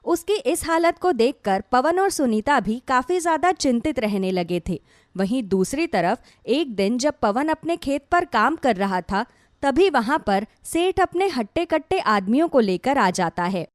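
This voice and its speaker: A woman's voice: high voice, animated, unhurried, no echo, studio quality. A woman narrating a scripted dramatic story as a storyteller.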